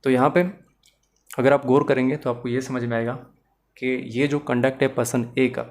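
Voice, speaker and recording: A man's voice: medium pitch, flat delivery, moderate pace. A man with a Pakistani accent lectures on law, explaining step by step with examples and mixing English terms and phrases into his speech while addressing the learner directly.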